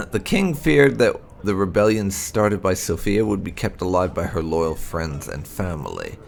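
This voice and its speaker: A man narrating fantasy game lore aloud, with deliberate, dramatic emphasis as a video host. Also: sits low, creaky, slight background noise.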